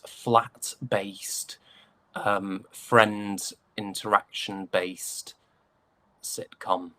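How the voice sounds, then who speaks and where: A man's voice: lowish voice, steady tone, drawn-out words, pushed and bright. A British man, an actor, chatting casually as an interview guest in a joking, self-deprecating manner.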